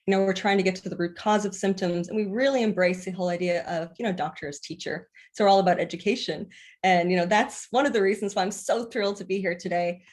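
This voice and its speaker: Female guest from the Bahamas, a naturopathic doctor, earnest and rapid-fire. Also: medium pitch, darkish tone. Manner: earnest, frequent filler phrases. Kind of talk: casual interview talk.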